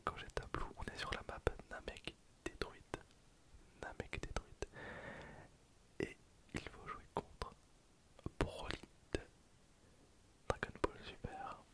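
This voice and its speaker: A man whispering casual, breathy ASMR commentary over gameplay in an informal way. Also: slow pace, long pauses. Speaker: gaming video creator.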